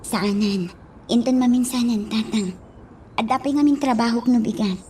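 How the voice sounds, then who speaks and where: An actress playing a respectful grown daughter in a radio drama. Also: female voice, fairly high pitch, moderate pace, dark tone, a little hiss or hum, lightly acted. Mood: concerned.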